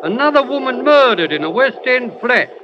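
A blunt working-class train passenger. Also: male voice, very high voice, very animated, moderate pace. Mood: matter-of-fact. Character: working-class man reading a newspaper on a train. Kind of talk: 1930s radio drama acting. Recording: noisy lo-fi old radio broadcast.

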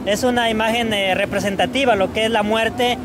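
A young Mexican man from Atlixco speaking earnestly and in a measured way, often adding tag questions, into an interviewer's mic outdoors at night. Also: high voice, steady tone. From Atlixco, Puebla, Mexico. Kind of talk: casual street interview. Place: busy city square outdoors at night. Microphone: interviewer's handheld mic. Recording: crowd and street noise outdoors.

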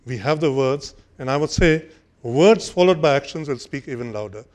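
An older man giving a formal speech at a podium on a conference hall stage, measured and hesitant, with frequent fillers and restarts. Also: mid-range voice, expressive, darkish tone. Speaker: conference speaker. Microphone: podium microphone.

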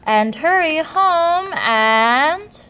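A woman's voice: high voice, lively intonation, very slow, bright, forceful tone. A woman reading a children's picture book aloud expressively, speaking directly to young listeners. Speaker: storybook reader.

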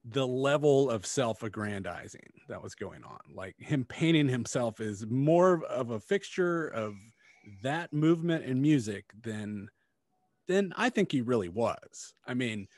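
A man's voice: mid-range voice, sing-song, measured pace, a little mellow, dry recording, clean studio sound. A man in his forties co-hosting a casual review podcast, talking bluntly and critically with plenty of swearing. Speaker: podcast co-host. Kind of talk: casual book review chat. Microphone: close studio mic on stand, headphones.

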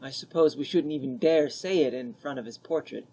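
A man's voice: mid-range voice, a little fast, warm tone, husky, rough recording. A young man playing a polite, shy grandson in a radio drama. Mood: hesitant.

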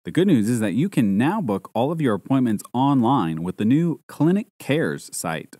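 A man's voice: mid-range voice, big pitch swings, normal speed, mellow, no room sound. A man delivering scripted narration as a voice-over artist, close on the mic.

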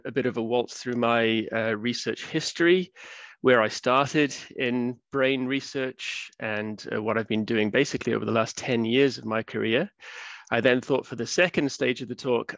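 Man from Wales, 30s, relaxed lecturer, gravelly, dull dry webinar audio. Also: medium pitch, dry recording. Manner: relaxed, self-deprecating, many "uh" fillers. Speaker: research fellow giving a public talk. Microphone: computer mic on video call.

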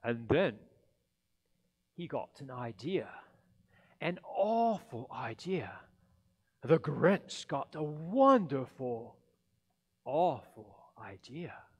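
Very slow-paced man reading a storybook. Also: medium pitch, breathy edge. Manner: dramatic pauses, expressive emphasis. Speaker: pastor reading a children's story. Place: church sanctuary, seated in an armchair. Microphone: headset.

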